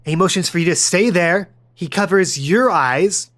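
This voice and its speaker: Casting: male game master and podcast host, fairly high voice, casual conversational narrator. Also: normal speed, a bit bright, slight background noise.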